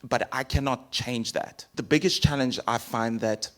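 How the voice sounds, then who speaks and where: Man panelist, mid pitch, relaxed, chatty, hedging answers. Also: normal speed. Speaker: city council candidate.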